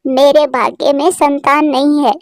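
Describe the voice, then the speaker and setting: A woman voicing a gentle, sorrowful, self-sacrificing female bird wife in a cartoon, speaking in an earnest, emotional way. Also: high-pitched, flat delivery, a little slow, no echo, clean studio sound. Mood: tearful, despairing. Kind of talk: Hindi cartoon voice acting.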